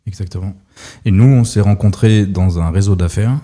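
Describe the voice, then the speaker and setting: A man's voice: low voice, moderate pace, warm tone. A man hosting an interview podcast, asking friendly, somewhat hesitant questions. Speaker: podcast host.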